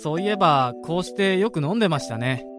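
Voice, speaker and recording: An actor playing a grumbly but fond, excitable office worker among friends in a Japanese radio drama. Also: male voice, on the high side, fast talker, over music, close to an everyday voice. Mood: reminiscing.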